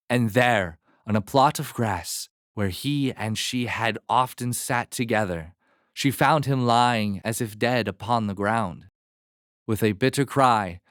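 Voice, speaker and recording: A man narrating a fairy tale as a storyteller, in a measured, expressive manner. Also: mid-range voice, no echo, studio quality.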